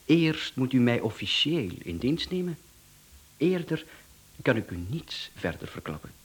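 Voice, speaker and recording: A man's voice: mid-range voice, very animated, measured pace. An actor playing a cocky, businesslike hitman in a radio play, formal and easily offended. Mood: businesslike, insistent. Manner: formal, smooth-talking salesman patter, indignant.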